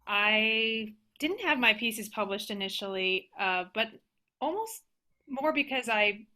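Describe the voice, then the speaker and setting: An American woman speaking casually as a panelist on a video call, with halting, reflective phrasing. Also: a little high, lively intonation, sharp tone. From United States. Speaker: panelist, organist and composer.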